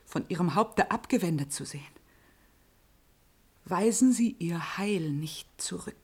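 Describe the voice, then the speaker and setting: An actress playing a penitent, devout noblewoman in a classical radio play, earnest and solemn, with a breathy, husky voice. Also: on the low side. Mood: pleading. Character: penitent, devout noblewoman pleading with former lover.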